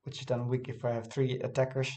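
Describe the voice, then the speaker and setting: A man's voice: medium pitch, flat delivery, quick pace, warm tone. A man who is not a native English speaker, casually thinking aloud as he reviews his own game, hesitant and often correcting himself.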